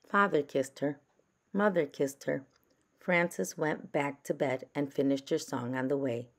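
Low, slow woman reading a storybook. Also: steady tone. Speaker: storybook reader. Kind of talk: children's read-aloud narration.

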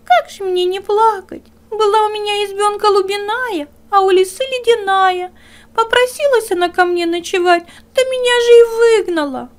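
A woman's voice: very high voice, moderate pace, pitched above the performer's own voice. A woman voicing a small, pitiful young male hare in a plaintive, whimpering storybook voice. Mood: plaintive, tearful.

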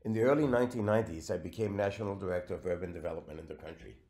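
A man, giving a recorded slide lecture in a measured way with frequent fillers. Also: sits low, a little mellow. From Venezuela.

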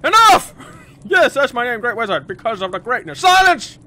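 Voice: medium pitch, sing-song, moderate pace, sharp tone. A let's player voicing a grumpy, absent-minded old male game wizard, pompous and blustering, lapsing into hesitant mutters. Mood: cranky, boastful.

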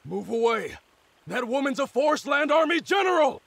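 A man voicing a gruff, distrustful army general in a video game, forceful and quick to anger. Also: very high voice, very animated, no echo, exaggerated voice. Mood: hostile. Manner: forceful, suspicious.

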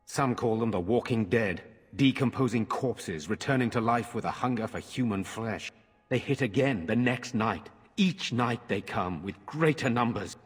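Guy doing a husky fantasy game lord, grave and formal, big echoey hall. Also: mid-range voice, natural voice. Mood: grave, urgent. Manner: formal, earnest, measured. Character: grave, courteous noble lord defending his village. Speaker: game character. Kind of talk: dramatic fantasy game acting. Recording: reverberant stone hall.

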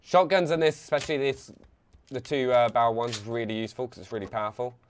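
A young man talks his way through a live game stream on a headset mic, chatty and quick to get excited. His voice sits at a middle pitch, with a faint hum of background ambience behind it. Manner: chatty, reads chat aloud, bursts of excitement.

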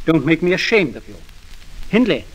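A man's voice: a little high, sing-song, normal speed, breathy edge, muffled recording. A man playing a kindly but firm father, reassuring and authoritative, in a 1940s radio drama.

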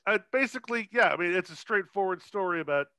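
Guy on a film podcast, higher bright voice, sweary and irreverent, casual chat. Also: lively intonation, quick pace, smooth, no echo, clean studio sound. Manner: profane, irreverent. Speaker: podcast co-host. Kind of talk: casual, unscripted film discussion.

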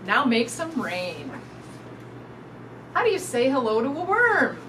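A middle-aged woman reading a picture book aloud to young children in an animated, sing-song way. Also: sits high, unhurried. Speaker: storytime reader. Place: indoors in front of a curtain backdrop. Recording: distant mic with clear background noise.

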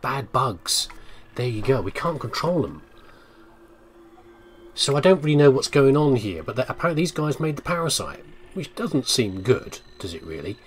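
A man's voice: mid-range voice, very animated, moderate pace, slight background noise. A man hosting a gaming video with casual, jokey commentary, often talking to himself. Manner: jokey, chatty, talks to himself. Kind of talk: casual let's play commentary.

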